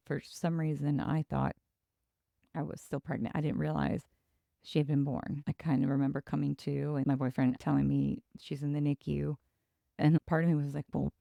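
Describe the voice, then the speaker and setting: A podcast host from Ecuador, a woman telling her own story in a reflective, halting way with pauses and restarts. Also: sits low, gravelly, warm tone, dry recording, clean studio sound. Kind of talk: personal storytelling.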